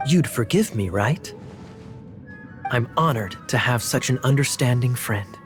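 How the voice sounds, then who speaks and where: A man's voice: mid-range voice, expressive, a little slow, natural voice. A man playing a suave teasing knight captain. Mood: gracious. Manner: smooth, teasing, playful. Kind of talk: video game voice acting. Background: game music.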